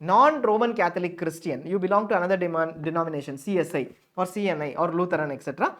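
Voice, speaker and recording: A woman's voice: lowish voice, quick pace. A woman walking viewers through an online application form, explaining each step with frequent fillers and rephrasings. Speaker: counselling guide presenter. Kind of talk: screen-share tutorial walkthrough.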